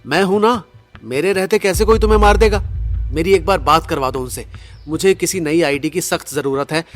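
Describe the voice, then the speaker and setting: A man's voice: fairly high pitch, moderate pace, faint music behind, natural voice. Man acting a coaxing young fugitive, lightish voice. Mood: earnest, pleading. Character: persuasive, devoted young man on the run, spy-like. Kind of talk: Hindi audio drama acting.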